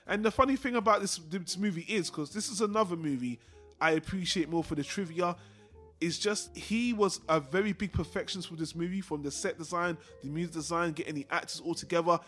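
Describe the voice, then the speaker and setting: Guy with a highish voice chatting casually on a film podcast, rambles and repeats stuff, music behind him. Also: fairly quick, a bit bright. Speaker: podcast co-host.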